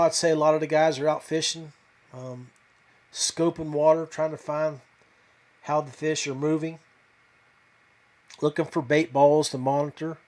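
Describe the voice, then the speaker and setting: Conversational male podcast host, slightly high-pitched. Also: a little mellow. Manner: halting, conversational, addresses listeners directly. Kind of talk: casual live fishing talk and commentary.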